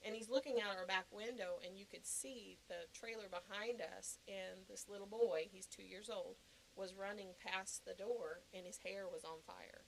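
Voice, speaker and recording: A middle-aged woman shares a personal testimony in a conversational storytelling way. Her voice sits at an average pitch for a woman. She is earnest and emphatic, often repeating phrases to drive them home. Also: moderate pace, creaky, slight background noise, poor audio. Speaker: podcast guest giving personal testimony.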